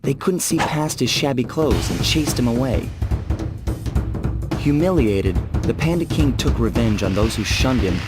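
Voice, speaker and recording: A man playing a cool, cocky young raccoon master thief in a cartoon video game, with a smooth, wry, confident delivery. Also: mid-range voice, lively intonation, unhurried, natural voice. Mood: storytelling, cool. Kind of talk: video game cartoon voice acting, hero narration. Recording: loud music behind the voice.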